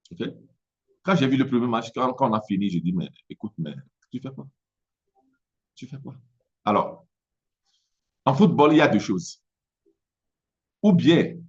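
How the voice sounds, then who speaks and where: A business coach from Togo, a man whose first language is Mina, speaking French with an Italian-influenced accent in a halting motivational talk, often repeating words as he searches for them. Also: fairly low pitch, muffled recording.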